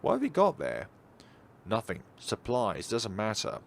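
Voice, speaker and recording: A man's voice: on the low side, wildly swooping pitch, measured pace, creaky, slight background noise, exaggerated voice. A man voicing an intense, secretive teenage girl from a visual novel in a terse, brusque manner. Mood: curious, then evasive.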